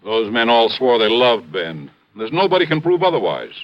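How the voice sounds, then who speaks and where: An actor playing a grave, domineering mine boss in a 1950s radio drama, formal and emphatic. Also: male voice, medium pitch, sing-song, moderate pace, close to an everyday voice. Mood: gloomy, troubled. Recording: muffled vintage radio recording.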